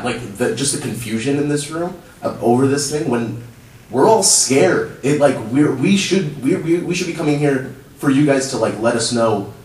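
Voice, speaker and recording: A young man whose first language is Urdu, speaking in a halting, pleading way at a public comment podium in a council chamber. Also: medium pitch, a little mellow. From child of immigrants. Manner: halting, many restarts, rising to pleading urgency. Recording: roomy hall, distant mic, faint hum.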